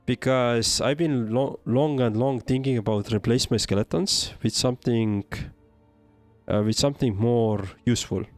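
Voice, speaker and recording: A man's voice: mid-range voice, moderate pace, no room sound, faint music behind. A man from Estonia with an Estonian accent, giving casual livestream game commentary and answering chat in a hesitant, thinking-aloud way. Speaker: game streamer.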